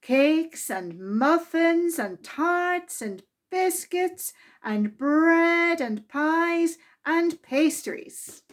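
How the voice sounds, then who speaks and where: Female storytime reader, high voice, very unhurried pace, expressive delivery pitched at young children. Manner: deliberate, expressive reading aimed at young children.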